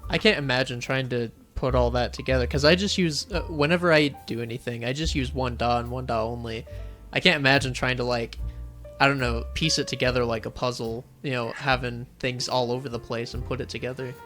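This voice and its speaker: A man's voice: medium pitch, fairly even intonation, fairly quick, fairly bright tone, soft background music. A man chatting casually as a co-host of an interview show. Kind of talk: casual chat.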